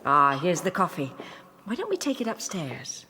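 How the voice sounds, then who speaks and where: An actress playing a cool, self-possessed young countess in a radio drama, genteel and measured, with hesitant pauses. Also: female voice, fairly low pitch, huge pitch swings, sharp tone, slight background noise, full character voice. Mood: softly inviting.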